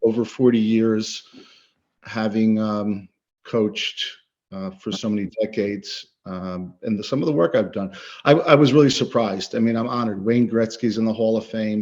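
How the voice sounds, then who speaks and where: A man in his sixties with a lowish voice answers interview questions in a halting, modest way, filling pauses with hesitations. His voice comes over a video call with dull, muffled audio. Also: darkish tone. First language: Ukrainian. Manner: halting, modest, many fillers. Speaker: soccer coach. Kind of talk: interview guest.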